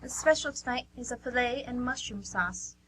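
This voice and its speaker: A woman performs a courteous restaurant waitress in a radio play. Her voice is high and bright, with formal service manners, over a slightly noisy recording with a hum. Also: normal speed, close to an everyday voice. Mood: polite. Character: polite young French restaurant waitress. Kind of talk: amateur radio play acting.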